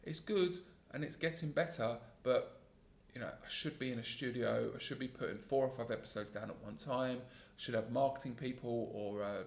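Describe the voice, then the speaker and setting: Gravelly male podcaster in his 30s, mid pitch, calm and earnest, reflective solo monologue, echoey home setup. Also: moderate pace, hardly any pauses. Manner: calm, earnest, self-critical, with frequent restarts. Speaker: podcast host and sales trainer.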